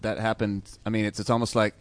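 Easygoing low-voiced male co-host. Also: level tone, fast, no room sound. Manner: easygoing, jokey. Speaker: radio show co-host. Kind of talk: casual podcast chat.

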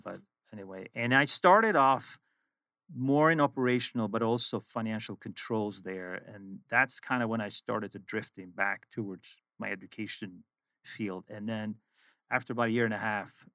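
Low-voiced Swedish-accented middle-aged man. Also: gravelly, pushed and bright, dry recording, clean studio sound. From Sweden. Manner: reflective, storytelling. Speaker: guest, finance executive. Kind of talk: casual interview chat.

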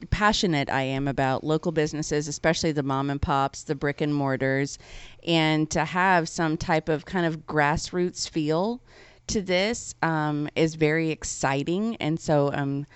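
Low, smooth-voiced woman co-hosting radio. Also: unhurried, no echo. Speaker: radio co-host. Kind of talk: casual conversational radio chat.

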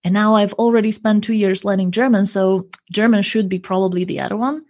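A woman's voice: medium pitch, steady tone, brisk, darkish tone. A woman working as a language coach and interpreter, answering interview questions in an earnest, explanatory way, often ending points with a tag question.

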